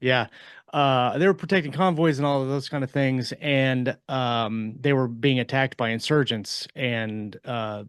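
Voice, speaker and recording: A man's voice: medium pitch, a little slow, clean tone, dry recording. Man on a podcast, very dry close sound. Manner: rambling, explanatory, circles back to rephrase. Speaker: podcast co-host and fiction author. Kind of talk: casual podcast discussion.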